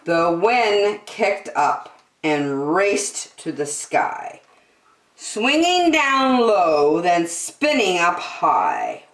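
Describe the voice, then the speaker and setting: A woman reading a children's storybook aloud in an expressive, deliberate storytelling manner. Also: fairly low pitch. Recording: roomy, echoing sound.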